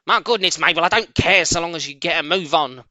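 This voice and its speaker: Man as a bossy, shouting farmer's wife, high. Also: brisk, no room sound. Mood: bossy, impatient. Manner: shouted, brusque orders.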